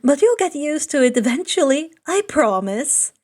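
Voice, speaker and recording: A woman's voice: sits high, sing-song, moderate pace, a little mellow. A woman voicing a friendly teenage boy in a story, earnest and lightly playful.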